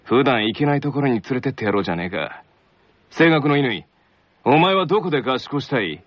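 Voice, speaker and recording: Man putting on haughty, animated teenage tennis captain. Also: mid-range voice, a little fast, dry recording, highs cut off, lightly acted. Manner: haughty, commanding, rough masculine speech. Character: arrogant, bossy teenage boy tennis captain. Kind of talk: radio skit acting.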